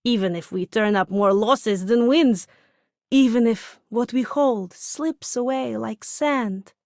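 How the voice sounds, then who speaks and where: A woman's voice: a little high, takes it slowly, a little mellow, no room sound, clean studio sound. A woman voicing a roguish, self-assured treasure hunter in a video game. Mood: teasing, fond. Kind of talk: video game character voice lines.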